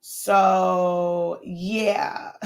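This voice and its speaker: A hoarse woman hosts a casual livestream, talking loosely to viewers as they join. Her voice is worn and scratchy, her speech full of fillers and little laughs. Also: on the low side, steady tone, very slow. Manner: warm greetings, frequent laughs and fillers. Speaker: host.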